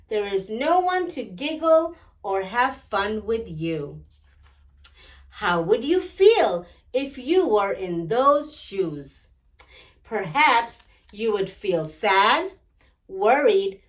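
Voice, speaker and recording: A woman's voice: medium pitch, distant mic. A woman reading a picture book aloud to children as a storyteller, instructing and putting questions directly to young listeners.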